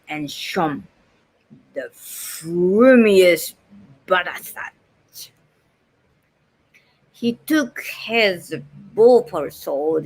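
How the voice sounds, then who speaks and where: A woman with a Japanese accent performing as a dramatic storyteller, reciting a heroic fantasy poem in a drawn-out, emphatic way. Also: lowish voice, full character voice, rough recording. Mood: ominous. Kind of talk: theatrical poetry recitation.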